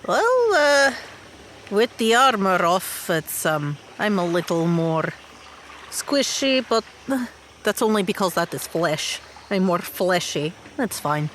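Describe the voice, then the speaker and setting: A woman playing a tabletop game, chatting casually in character with a hesitant, halting manner. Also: medium pitch, sing-song, a bit bright, slight background noise. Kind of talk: casual in-character roleplay chat.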